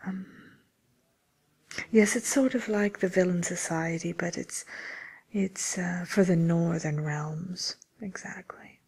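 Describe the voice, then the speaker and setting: A woman playing a genteel, businesslike matchmaker for villains in a whispered ASMR role play, murmuring politely and hesitantly in a breathy voice. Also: on the low side, fairly even intonation, slow pace, a little mellow, exaggerated voice. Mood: matter-of-fact, discreet.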